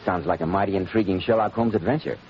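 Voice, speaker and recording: A man's voice: low-pitched, fast talker, close to an everyday voice. A man hosting as announcer in a 1940s radio drama style. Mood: upbeat. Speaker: announcer, host. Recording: muffled, noisy 1940s radio recording.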